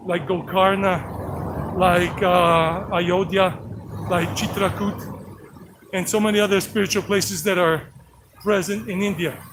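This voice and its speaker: Rambling middle-aged man, high voice. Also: a bit bright, a little hiss or hum, low-quality recording. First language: English. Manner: rambling, repetitive, chatty. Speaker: vlogger. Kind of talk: walking live stream vlog. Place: outdoors on a walk by a creek. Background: flowing creek.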